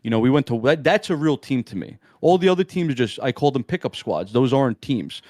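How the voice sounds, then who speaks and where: A young man in casual interview chat, talking in a strong monotone, laid-back and slangy, rambling with restarts. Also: mid-range voice, brisk, no room sound, clean studio sound. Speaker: amateur esports player, guest.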